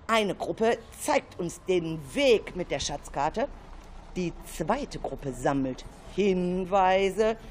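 Slow-paced woman presenting for children. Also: mid-range voice, a little mellow, slight background noise. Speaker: presenter accompanying schoolchildren in an audio play. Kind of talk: scripted radio play narration for children.

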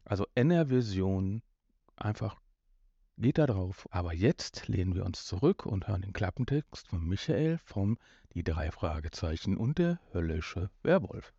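A man in his fifties hosts a casual podcast with a relaxed, mellow voice. He rambles and jokes as he goes. The sound is slightly muffled and roomy, as if recorded at home. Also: low-pitched, a little slow, gravelly, breathy edge. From North Rhine-Westphalia, Germany. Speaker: podcast host. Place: room in a family home.

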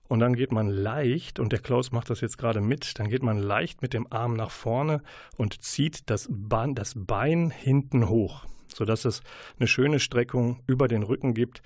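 A male radio presenter with a medium-pitched voice talks chattily and directly to his listeners. His style is playful and lightly humorous, recorded in a close, dry studio sound. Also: darkish tone, dry recording. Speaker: radio book show host.

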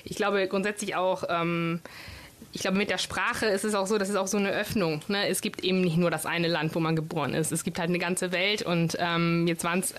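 A woman's voice: fairly low pitch, fairly even intonation, fairly quick. Italian-born woman in her 30s, native italian, chatting as a guest, lots of ums, slips into italian. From Italy. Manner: hesitant, many fillers, slips into Italian. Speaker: guest, nursing director. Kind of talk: casual interview chat.

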